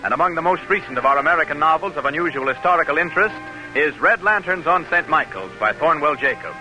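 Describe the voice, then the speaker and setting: A man's voice: fairly high pitch, animated, fast talker, poor audio. A man working as a radio announcer, with a formal, polished 1940s delivery. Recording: old radio broadcast with music.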